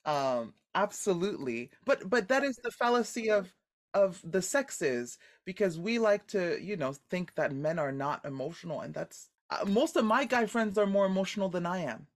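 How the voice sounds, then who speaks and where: A woman with a deep voice, a guest actor in a casual podcast interview, telling stories in an animated, expressive way and laughing often. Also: moderate pace.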